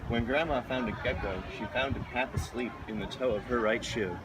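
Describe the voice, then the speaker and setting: Mid-pitched man reading a storybook outdoors. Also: a little fast. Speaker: storybook reader. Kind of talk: children's picture-book reading. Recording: noisy outdoor recording with traffic.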